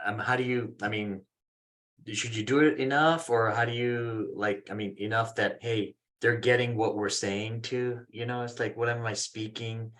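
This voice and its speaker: A man moderating a session, asking casually and haltingly, often checking for agreement. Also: mid-range voice, steady tone, a bit bright. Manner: halting, informal, seeks agreement. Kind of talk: casual spoken question.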